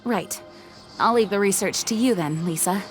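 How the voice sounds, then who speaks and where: A woman playing a dutiful young knight commander in a video game, speaking in a firm, measured, formal way. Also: medium pitch, faint music behind, close to an everyday voice. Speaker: acting leader of knights. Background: game score.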